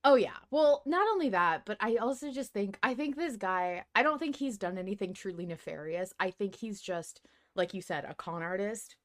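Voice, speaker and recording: A woman's voice: medium pitch, animated, moderate pace. Emphatic woman podcasting casually. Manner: emphatic, peppered with swearing. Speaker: podcast host. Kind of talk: casual fan discussion.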